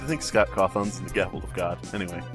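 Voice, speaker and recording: A man's voice: low-pitched, fast, over music. A man co-hosting a podcast in casual comedic banter, riffing with plenty of swearing. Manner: animated, profane riffing. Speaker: podcast co-host.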